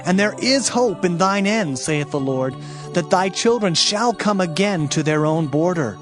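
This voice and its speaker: Higher-pitched solemn male scripture narrator. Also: expressive, measured pace. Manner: solemn, declamatory, formal. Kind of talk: dramatized audiobook scripture reading. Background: music.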